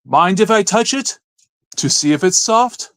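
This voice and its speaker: A man's voice: high voice, lively intonation, a little slow, fairly bright tone, pitched above the performer's own voice. A man performing a leering suitor in a theatrical, mock-refined dramatic poetry reading. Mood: theatrical, flirtatious.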